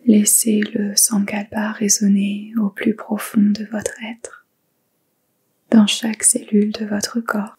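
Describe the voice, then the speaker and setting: Female meditation guide, whispered, mellow and flat, slow calm delivery. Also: mid-range voice, slightly rough. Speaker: yoga nidra teacher.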